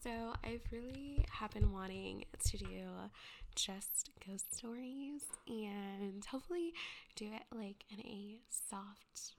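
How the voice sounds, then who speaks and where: A young woman with a stuffy, congested voice, talking slowly in a soft half-whisper. Her girly tone carries a gravelly, creaky edge as she rambles through her intro, dotted with fillers. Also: mid-range voice, a little hiss or hum. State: stuffy from a cold. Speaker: ghost story podcaster. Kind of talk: soft whispered casual chat.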